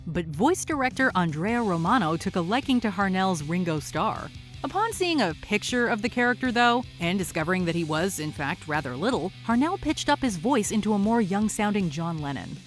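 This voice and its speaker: Lively woman narrator over music. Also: mid-range voice, brisk. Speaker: countdown list narrator. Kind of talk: scripted upbeat narration.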